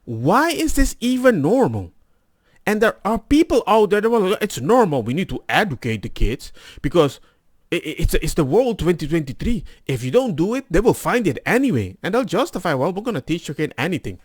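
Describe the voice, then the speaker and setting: A man's voice: sits high, very animated, fairly quick, dry recording, studio quality. A man giving casual, off-the-cuff reaction commentary as a host, exasperated and mockingly mimicking the people he disagrees with.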